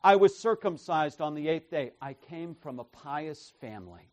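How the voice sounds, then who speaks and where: Male pastor, slightly high voice, emphatic sermon delivery, soft-to-loud swings, dry close sound. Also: expressive, moderate pace, dry recording. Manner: emphatic, tags points with "right?"; loudness swings from soft to forceful. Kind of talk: expository sermon.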